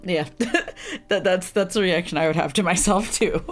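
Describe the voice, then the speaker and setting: A woman with a deep, rough, slightly hoarse and congested voice, rambling through casual gameplay commentary and laughing at herself often. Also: moderate pace, faint music behind. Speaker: streamer playing a visual novel.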